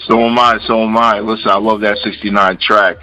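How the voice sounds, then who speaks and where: A man's voice: lowish voice, little pitch movement, brisk, bright, forceful tone, lo-fi sound, faint music behind. A man from Queens, New York hosting a radio interview, talking casually and often saying "you know.". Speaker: radio host.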